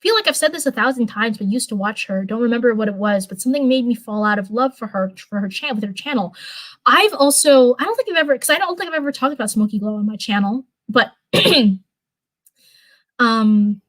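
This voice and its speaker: A young woman with a fairly high voice rambles through a relaxed livestream chat, piling up fillers and restarts as she talks to her viewers. Her voice is turning hoarse after hours of talking, and the sound is dry and close with no room echo. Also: fairly quick.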